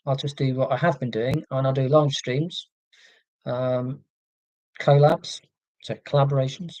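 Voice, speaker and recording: A man live streaming from home and reading chat comments aloud, talking in a rambling, halting way with many ums and restarts and a voice he calls monotonous. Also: medium pitch, dry recording. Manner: self-described monotonous voice.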